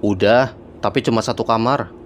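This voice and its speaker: A man's voice: on the low side, steady tone, normal speed, slight background noise, close to an everyday voice. A man voicing an easygoing, slightly boastful young man in a cartoon, speaking casual, slangy Jakarta Indonesian in a matter-of-fact way. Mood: casual, reassuring. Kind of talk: colloquial cartoon dialogue.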